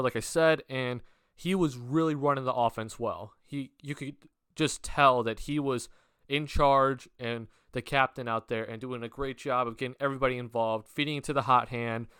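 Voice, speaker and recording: A man's voice: mid-range voice, a bit bright, smooth, no echo, clean studio sound. Young male sports vlogger, sick with a cold, rambling and filler-heavy, clean resonant tone. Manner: rambling with many fillers and restarts. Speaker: basketball vlogger. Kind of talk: casual sports talk to camera.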